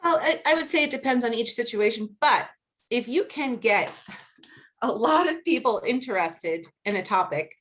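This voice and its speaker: A woman with a Boston accent speaking as a guest researcher on a video-call panel, earnest and measured, laughing easily during questions. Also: a little high, animated, a bit bright. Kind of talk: academic panel talk and Q&A.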